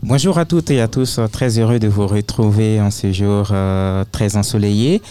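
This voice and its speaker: A man's voice: fairly low pitch, moderate pace, dark tone. A man hosting a live radio interview, friendly and a little hesitant, with fillers. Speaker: radio host.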